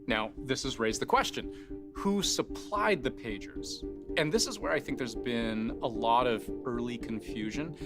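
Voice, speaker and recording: A man hosting a news explainer in a conversational scripted style, posing rhetorical questions and stressing key phrases. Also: medium pitch. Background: faint music.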